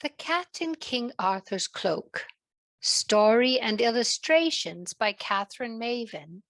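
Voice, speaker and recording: A woman narrating a children's story in a gentle, deliberate way, with a British accent that comes and goes. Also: fairly high pitch, animated, dry recording. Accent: British, fading in and out.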